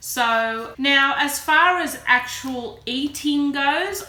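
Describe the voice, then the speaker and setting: A woman's voice: fairly high pitch, sharp tone. A woman in her early forties talking casually and candidly in a chatty, self-deprecating personal vlog. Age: early 40s. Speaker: vlogger. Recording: roomy echo, faint background noise.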